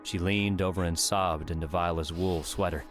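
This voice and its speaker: Low deep guy reading an audiobook story, music behind him. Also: steady tone, moderate pace. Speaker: audiobook narrator. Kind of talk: scripted story narration. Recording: music under the voice.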